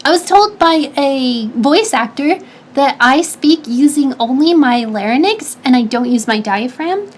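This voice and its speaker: A woman streamer answering her chat in casual talk, with a high-pitched, slightly nasal, stuffy voice, chatty and full of restarts. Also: expressive, a little slow. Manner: chatty, advice-giving, lots of restarts and fillers. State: stuffy nose.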